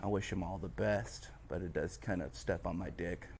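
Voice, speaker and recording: A man's voice: low voice, steady tone, moderate pace. Wry man in his thirties, noisy background. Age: 30s. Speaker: tattoo studio owner. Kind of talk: documentary interview.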